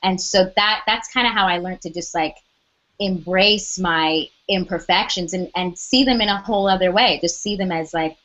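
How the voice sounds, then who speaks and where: A young adult woman with a loud speaking voice, chatting animatedly as an interview guest, full of "like" and quoted asides. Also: lowish voice, moderate pace, highs cut off. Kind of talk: casual interview chat.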